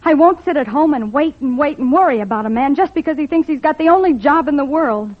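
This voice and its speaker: A woman's voice: high-pitched, lively intonation, fast talker. Firm young woman, old radio. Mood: bitter, earnest. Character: firm, earnest young woman responsible for a ward. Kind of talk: old-time radio drama acting. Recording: muffled old radio recording.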